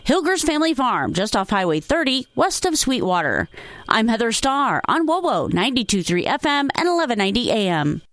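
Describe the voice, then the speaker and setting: A woman anchoring local radio news, reading stories, ads and traffic in an even newsreader manner. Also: a little high, brisk, no echo, studio quality. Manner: crisp, even newsreader delivery.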